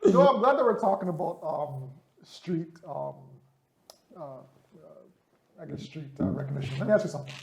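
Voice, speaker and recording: A man hosting a casual podcast chat, asking quick, probing questions of his guests. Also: fairly high pitch, big pitch swings, creaky, a little mellow. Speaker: podcast host. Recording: echoey room with faint noise.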